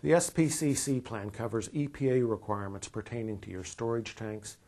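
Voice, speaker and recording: An American man in his fifties with a fairly low voice narrates an instructional video. He explains things in a measured, orderly way, reading from a script as a presenter would. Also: darkish tone. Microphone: lapel mic.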